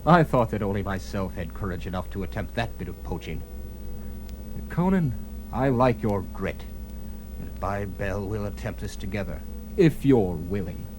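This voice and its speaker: A man's voice: fairly low pitch, animated, moderate pace, noisy background, close to an everyday voice. A man playing a cocky, daring master thief with swaggering archaic speech in a dramatized fantasy audio story. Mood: amused, cocky.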